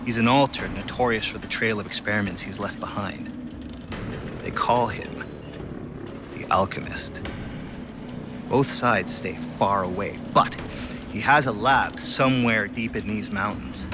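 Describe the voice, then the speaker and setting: An actor playing a cautious scientist in a video game, speaking in a measured, dryly understated way. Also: male voice, fairly low pitch, over music, natural voice. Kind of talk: video game cutscene acting.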